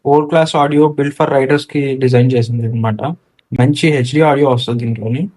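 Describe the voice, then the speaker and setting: A man's voice: medium pitch, fairly even intonation, normal speed, a little mellow, low-quality recording. A man with a Telugu accent giving a casual hands-on product walkthrough as a gear reviewer, mixing in English technical words. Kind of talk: casual unboxing and installation walkthrough.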